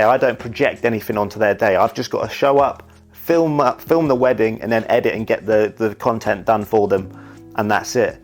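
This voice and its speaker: Candid young man, rambling talk. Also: mid-range voice. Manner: candid, rambling, peppered with "you know". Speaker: YouTuber and wedding videographer. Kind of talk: reflective casual monologue.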